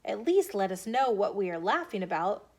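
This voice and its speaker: A woman's voice: medium pitch, sing-song, moderate pace, darkish tone. An American woman voicing a stern but kindly female schoolteacher in a storybook, addressing a class emphatically. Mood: cheerful, curious.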